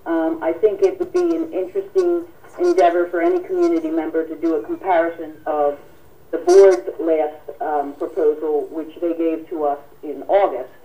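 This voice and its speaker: A woman hosting a telephone town hall as a union president, speaking formally and politely with halting delivery while taking callers. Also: low-pitched, highs cut off. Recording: distant radio-like telephone conference audio. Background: telephone conference call.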